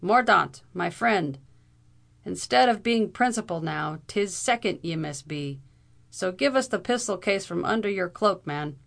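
A man's voice: sits high, lively intonation, measured pace, vocal fry, a bit bright, exaggerated voice. A woman voicing a fierce, blustering Irish army captain in a storybook reading, vociferous and indignant. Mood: furious indignation.

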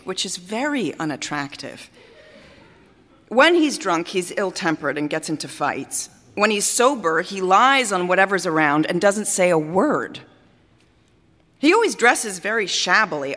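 An actress playing a vain, capricious opera singer in a theatrical monologue, speaking grandly with dramatic pauses, both scornful and adoring. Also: female voice, mid-range voice, big pitch swings, a bit bright. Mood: scornful complaint. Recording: reverberant hall stage recording.

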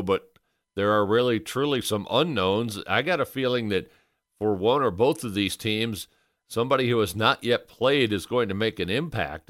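A man co-hosting a sports talk show, giving conversational analysis in measured, deliberate phrases. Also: on the low side, a bit bright, dry recording, clean studio sound.

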